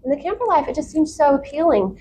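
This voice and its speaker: A woman chatting casually as an interview guest, with an excitable, enthusiastic manner. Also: sits high, fast, a little hiss or hum. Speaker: talk show guest.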